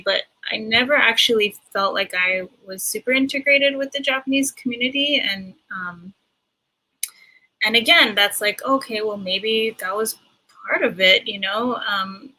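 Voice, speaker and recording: A woman from Hawaii sharing personal reflections as a panelist, halting and thoughtful as she searches for words. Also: mid-range voice, sharp tone, rough recording.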